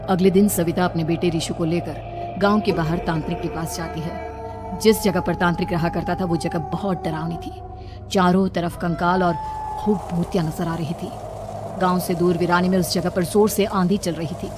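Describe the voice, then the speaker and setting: A woman's voice: fairly low pitch, fairly even intonation, moderate pace. Woman narrating a horror story over music.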